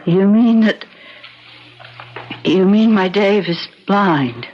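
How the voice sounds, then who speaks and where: A devoted wife in an old radio drama, anxious and pleading. She speaks slowly and hesitantly at a middling pitch. The recording is dull and lo-fi. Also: animated, a little hiss or hum. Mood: shocked.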